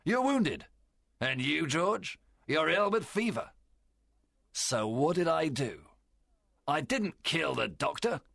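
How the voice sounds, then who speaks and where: Fierce storybook pirate captain: bright, forceful rasp, wildly swooping, commanding and cunning. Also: male voice, a little high, very animated, no echo, clean studio sound, voice pushed up, heavily acted. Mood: angry, scornful. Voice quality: strained, gravelly rasp. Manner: theatrical, commanding, drawn-out delivery. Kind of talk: dramatized audiobook character voice.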